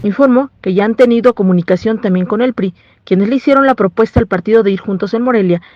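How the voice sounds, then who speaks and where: A woman's voice: mid-range voice, no echo, highs cut off. A woman with a Mexican accent reading a scripted news report as a reporter.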